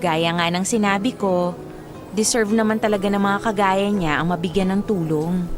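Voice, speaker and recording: A woman's voice: mid-range voice, fairly even intonation, normal speed, close to an everyday voice. Actress as an encouraging young woman, earnest and loyal. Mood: modest, fond. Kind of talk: radio drama acting. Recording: faint music behind.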